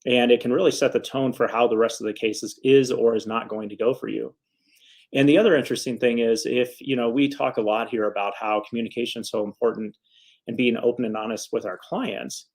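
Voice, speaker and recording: A man's voice: mid-range voice, fairly even intonation, a little fast, a little mellow. A man speaking as an attorney in a casual, conversational advice vlog, earnest and a little rambling in his phrasing. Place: office.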